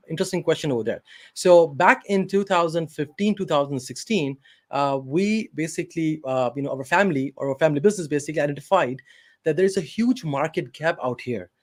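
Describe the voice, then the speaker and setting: Guy from pakistan on a video call, bit high voice, lots of uh and you know. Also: a little mellow. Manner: hesitant, many fillers and restarts. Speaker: company CEO, guest. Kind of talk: interview answers.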